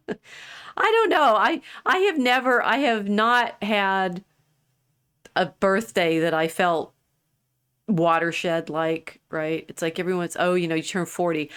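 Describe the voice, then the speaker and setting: A woman's voice: fairly low pitch, fairly bright tone, smooth. A woman in her sixties hosting a podcast in casual chat, rambling and restarting her sentences, with frequent laughs. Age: 60s. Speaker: podcast host.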